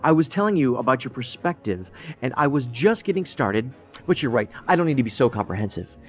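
A man's voice: medium pitch, lively intonation, brisk, slightly rough. A man playing a quirky, tactless federal agent in an audio drama, talking in a rambling, pedantic, matter-of-fact way. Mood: blunt, analytical.

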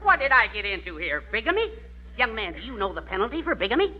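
An American actor playing a brusque, pompous, easily exasperated judge in a classic radio comedy. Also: male voice, sits high, very animated, fast talker. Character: impatient, officious busy judge performing a wedding. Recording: noisy, muffled vintage radio recording.

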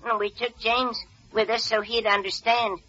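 A woman's voice: on the high side, little pitch movement, moderate pace, sharp tone, breathy edge. A woman playing a small, anxious male alien who pleads in flowery, hesitant, singsong rhyming couplets in a 1940s radio serial. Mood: earnest. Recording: dull lo-fi old radio recording.

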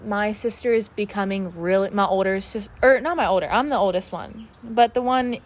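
A woman's voice: mid-range voice, moderate pace. A woman of twenty-one, chatting candidly on her solo podcast, full of fillers. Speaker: podcast host. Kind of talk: casual solo podcast chat. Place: outdoors in her backyard.

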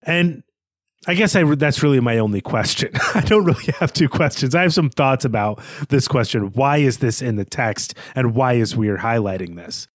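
A man's voice: mid-range voice, lively intonation, moderate pace, no room sound, clean studio sound. A man co-hosting a podcast, discussing a book in a casual, self-correcting and wry way. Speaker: podcast co-host.

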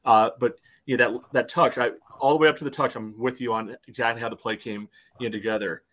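A podcast co-host arguing a soccer call, with "you know" between nearly every phrase. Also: male voice, medium pitch, fairly even intonation, fast talker, fairly bright tone. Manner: loose, fills with "you know" often. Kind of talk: casual sports debate.

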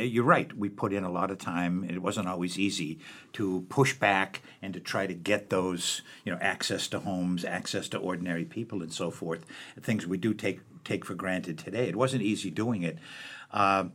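An older man, a professor and book editor, speaking in a relaxed interview with many fillers and restarts. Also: deep voice. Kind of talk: conversational interview.

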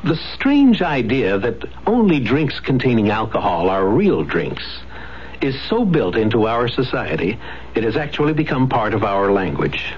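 A man's voice: mid-range voice, big pitch swings, moderate pace. A man reading a scripted radio public service announcement in a questioning, rhetorical manner. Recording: muffled, noisy 1970s radio broadcast.

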